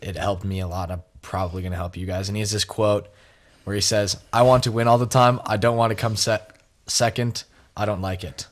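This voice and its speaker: Young dude co-hosting, lowish voice, super casual, lots of "like". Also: male voice, fairly even intonation, a little fast. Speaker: podcast co-host. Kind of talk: casual podcast chat.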